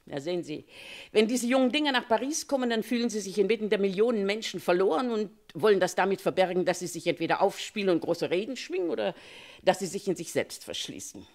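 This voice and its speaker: An actress in a German radio crime play voicing a talkative, gossipy Paris concierge who tells things in a chatty, confiding, opinionated way. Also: female voice, medium pitch, animated, fast talker, darkish tone, lightly acted.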